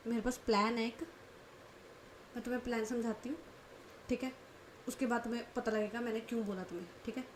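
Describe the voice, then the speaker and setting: A woman voicing a calm, protective young woman who soothes and reassures in a told story, speaking softly. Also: fairly high pitch, a little fast, long pauses, a little hiss or hum, natural voice. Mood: reassuring.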